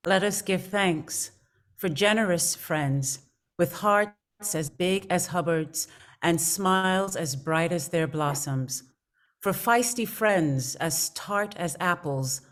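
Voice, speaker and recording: Slow, lowish woman reading poetry. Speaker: theatre company guest. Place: video call.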